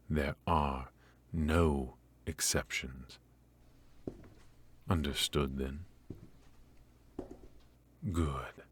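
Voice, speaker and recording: Man acting as a very deep, imperious royal mage. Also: husky, heavily acted. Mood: formal, instructive. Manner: formal, imperious, measured. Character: stern, aloof royal mage, commanding male mentor. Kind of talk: scripted ASMR fantasy roleplay.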